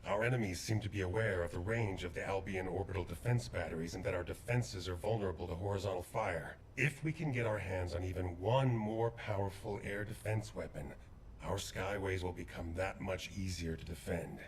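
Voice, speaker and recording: A steady male soldier from a video game, speaking in a calm, measured, earnest way. His voice sits very low. Faint noise runs underneath.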